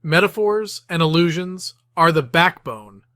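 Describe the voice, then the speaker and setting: A man reading scripted instructional narration in a measured, deliberate, step-by-step way. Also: fairly high pitch, a bit bright, no echo, studio quality. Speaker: host of writing tips series.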